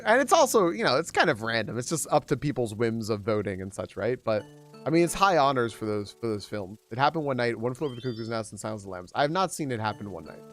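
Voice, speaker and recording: A man talking loosely to his stream chat during a game, his voice pitched at an everyday middle. His thoughts wander and restart often, and he springs higher to greet viewers. Soft game music plays quietly behind him. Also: sing-song, fast talker. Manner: rambling, with many restarts and trailing thoughts. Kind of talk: casual live-stream chat while gaming.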